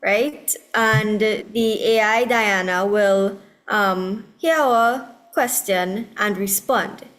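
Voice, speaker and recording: A woman with a Trinidadian accent moderating an online forum, speaking hesitantly with many pauses. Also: mid-range voice, fairly bright tone, rough recording. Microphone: video call.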